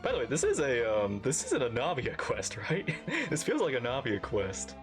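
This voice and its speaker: A woman's voice: a bass voice, wildly swooping pitch, normal speed, music in the background. A woman streamer giving casual live game reaction commentary, chatty and thinking aloud.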